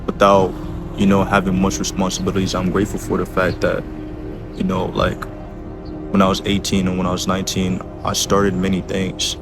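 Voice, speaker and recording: A man's voice: low-pitched, monotone, normal speed, over music. A twenty-year-old man giving casual, earnest motivational advice as a vlogger, urging the listener on and often checking in with short tag questions. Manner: earnest, exhorting, repeats tag questions like a coach.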